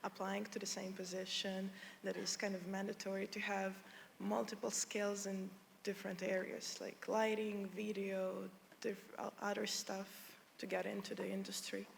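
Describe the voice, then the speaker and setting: A woman's voice: mid-range voice, level tone, moderate pace, vocal fry. A woman in the audience asking a hesitant question, searching for words. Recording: distant, long hall reverb, faint noise.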